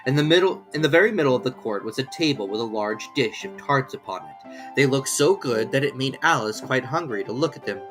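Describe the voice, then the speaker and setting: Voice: medium pitch, animated, fairly quick, a bit bright, soft background music. A storyteller reading scripted children's fiction as an audiobook narrator.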